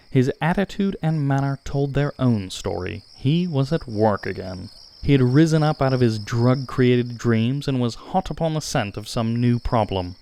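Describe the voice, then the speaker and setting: Male audiobook narrator for classic fiction: mid-range voice, very varied intonation, measured and dramatic phrasing. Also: mid-range voice, a little mellow. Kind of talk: scripted literary narration.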